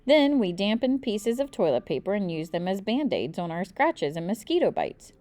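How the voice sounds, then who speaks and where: A woman reading a children's book aloud expressively, with deliberate, dramatic emphasis. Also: mid-range voice, very animated, warm tone. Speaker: storybook reader.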